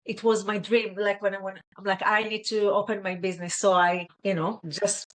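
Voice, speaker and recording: A middle-aged woman from Israel with an Israeli accent, talking earnestly and haltingly as a guest, often tagging "right?". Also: mid-range voice, level tone. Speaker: guest, fashion stylist. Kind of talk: casual interview chat.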